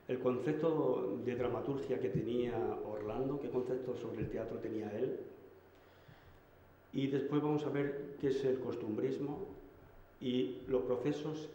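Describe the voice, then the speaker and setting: A man from Madrid giving a public lecture, measured and hesitant, with fillers. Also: medium pitch, dark tone. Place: municipal hall. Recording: echoey hall, lo-fi.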